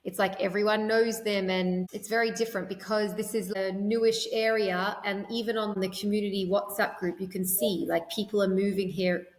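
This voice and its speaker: A woman's voice: medium pitch, flat delivery, moderate pace, hardly any pauses. A woman, a podcast guest, talking in a chatty, rambling way with lots of fillers and restarts. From Australia. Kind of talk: conversational interview. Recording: echoey room with long reverb.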